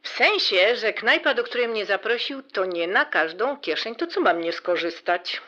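A woman's voice: mid-range voice, animated, moderate pace, bright, forceful tone, highs cut off. An actress playing a sassy, self-assured middle-aged woman who is flippant and ironic, in a naturalistic audio drama. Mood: breezy, flippant. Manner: breezy, ironic, teasing, with playful foreign words. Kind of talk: naturalistic audio drama acting, phone conversation.

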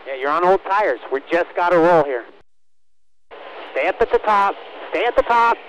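A man with a fairly high voice talks over a race team radio with faint noise. He works as a spotter, guiding a driver in short, clipped phrases that he often repeats. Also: expressive, normal speed, dull audio.

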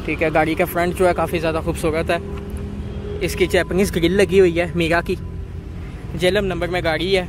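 A man walking viewers around used cars at an outdoor bazaar, listing their features in a steady, repetitive way. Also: a little high, normal speed, over music. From Pakistan. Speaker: car market video host. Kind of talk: walkaround car review for viewers. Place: outdoor car bazaar, dusty lot. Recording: outdoor market noise behind.

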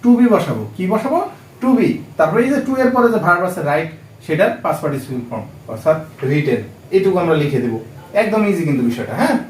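A male teacher with a Bangladeshi accent explains things step by step in a high voice that swings up and down. He keeps posing little questions and repeating the key words. The sound is echoey, picked up from a distance. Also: big pitch swings, fast talker, a little hiss or hum. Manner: asks rhetorical check questions and repeats key phrases. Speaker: English grammar teacher. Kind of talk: instructional lesson explaining step by step. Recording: echoey room, distant microphone.

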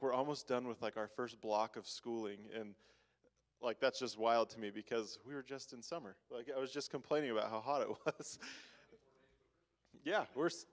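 A young man on a church stage giving chatty announcements full of "like" and small laughs, then a short devotional. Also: mid-range voice. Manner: chatty, laughing, many "like" fillers. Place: church stage, large room.

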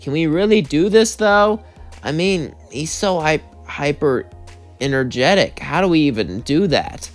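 A man's voice: a little high, big pitch swings, normal speed, soft background music, pitched above the performer's own voice. A man voicing an earnest, hopeful but worried woman in a sincere, hesitant manner for a cartoon story.

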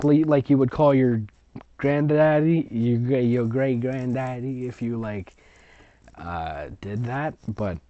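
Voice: mid-range voice, darkish tone. A sarcastic, rambling reader giving mocking commentary while reading fan fiction aloud, on dull lo-fi audio. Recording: dull lo-fi audio, close and dry.

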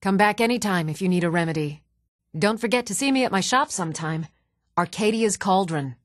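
An actress playing an even-toned townswoman and shopkeeper in a fantasy video game, speaking in measured, clearly enunciated, theatrical phrases. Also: medium pitch, no room sound, clean studio sound, natural voice. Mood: helpful, businesslike. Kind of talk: scripted video game voice acting.

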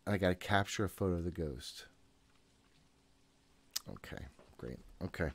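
A man's voice: low-pitched, lively intonation, a little slow, gravelly, no echo. A man in his thirties giving casual, jittery, profane gameplay commentary, often talking to himself. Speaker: gaming host. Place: room at home.

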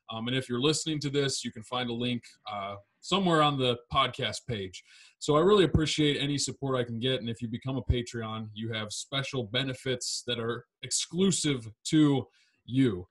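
A man's voice: medium pitch, fairly bright tone. Host: thirties man, friendly upbeat podcast chat, close mic in a home study. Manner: upbeat, friendly welcome, some fillers. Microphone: large podcast mic on stand.

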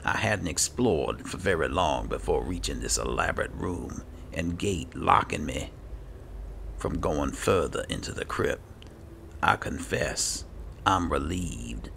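Gravelly, very deep-voiced man, slow and low-key. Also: fairly bright tone, slight background noise. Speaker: gamer host playing and roleplaying a fantasy game. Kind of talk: casual game commentary with read-aloud notes.

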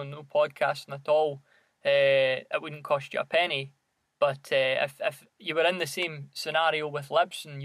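A man's voice: a little high, a bit bright, no echo. A man co-hosting a podcast in casual, conversational chat. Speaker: podcast co-host.

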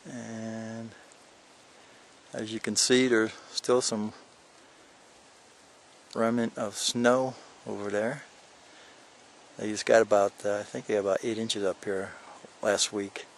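A man's voice: lowish voice, little pitch movement, slow pace, creaky, pauses often, slight background noise. An older man casually narrating a hike outdoors, rambling and joking with lots of fillers, filmed on a handheld camera. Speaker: hiker filming a vlog. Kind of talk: casual narration while hiking. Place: outdoors on a mountain trail, windy.

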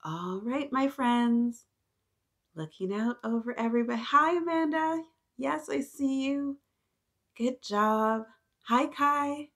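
A woman in her thirties reading aloud to young children, animated and encouraging, often addressing them directly with questions. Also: high-pitched, takes it slowly. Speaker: children's story-time host. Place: small decorated indoor room.

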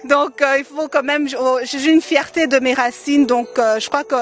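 A woman from Congo in her thirties talks through an interview, her voice sitting a little high. She stops often on fillers and lets small laughs slip between phrases. She speaks into a handheld mic held close by the interviewer. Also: lively intonation, unhurried, faint music behind. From Democratic Republic of the Congo. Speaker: interview guest, event organizer. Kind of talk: casual interview answers. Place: decorated holiday light display, indoors.

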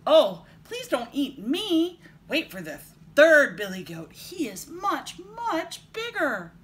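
A woman's voice: high voice, wildly swooping pitch, slow, voice pushed up, heavily acted. A woman voicing a middle-sized billy goat brother from a children's storybook, emphatic, sing-song and pleading.

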